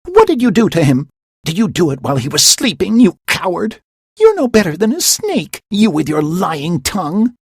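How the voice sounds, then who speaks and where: High shrill young woman, breathy, fierce, wild pitch leaps, voiced by a man. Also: wildly swooping pitch, a little slow, no echo, studio quality, exaggerated voice. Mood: furious. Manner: high, shrill cries of alarm and accusation.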